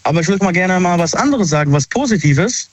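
A man's voice: sits high, expressive, quick pace, no echo. Polite young male caller, dull dry line. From Syria. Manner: polite, earnest. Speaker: phone-in caller. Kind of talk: casual personal account. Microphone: telephone. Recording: dull, dry lo-fi phone call.

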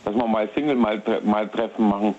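A man in his thirties calling into a radio show, talking haltingly and repeating his words. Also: on the low side, steady tone, a little mellow, a little hiss or hum. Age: 30s. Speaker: caller. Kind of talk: phone call-in to radio. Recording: muffled lo-fi phone line.

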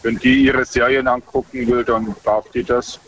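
A man calling in to a radio show, talking in a halting way with many restarts. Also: mid-range voice, level tone, breathy edge. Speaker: phone-in caller. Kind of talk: casual phone conversation. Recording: dull, distant phone line.

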